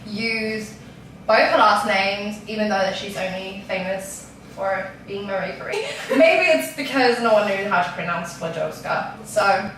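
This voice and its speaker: A woman's voice: mid-range voice, expressive, a little slow, fairly bright tone, a little hiss or hum. A young woman from Denmark with a Danish accent, chatting casually and giggling as she hosts a vlog. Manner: giggly, chatty, self-mocking. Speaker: vlog host. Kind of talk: casual chat. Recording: echoey room, mic far off.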